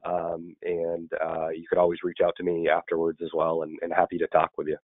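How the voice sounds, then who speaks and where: A man with a sore throat and a raspy, fading voice, presenting a webinar in a halting way with many ums and restarts. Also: deep voice, monotone, no room sound. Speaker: state weatherization program staff, webinar presenter. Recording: tinny phone-like webinar audio, dry.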